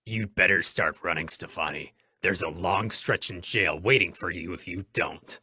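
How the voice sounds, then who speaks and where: A man playing a cool, self-possessed masked vigilante in an old-time radio drama, speaking with deliberate, taunting control. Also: low-pitched, background noise, close to an everyday voice. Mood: stern, commanding.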